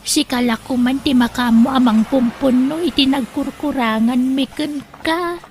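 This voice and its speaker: A woman's voice: high voice, moderate pace, a little hiss or hum, close to an everyday voice. Woman voicing a pushy Ilocano moneylender. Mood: friendly. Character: proud demanding female moneylender, married. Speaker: voice actor. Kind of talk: audio drama acting.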